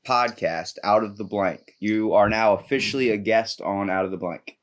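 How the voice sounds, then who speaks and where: A man hosting a podcast, chatting casually with a guest in a joking, rambling, animated way. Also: on the low side, normal speed. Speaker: podcast host. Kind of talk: casual interview chat.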